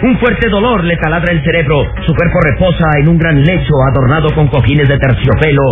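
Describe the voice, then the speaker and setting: An actor narrating an adventure radio serial in a dramatic, emphatic and suspenseful style. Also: male voice, on the high side, fairly quick, close to an everyday voice. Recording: muffled old radio recording, music behind.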